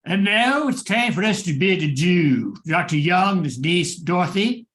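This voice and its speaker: Bright, slow, dramatic male radio narrator. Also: high voice, lively intonation. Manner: dramatic, theatrical. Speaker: host and narrator. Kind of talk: scripted narration, old-time radio thriller.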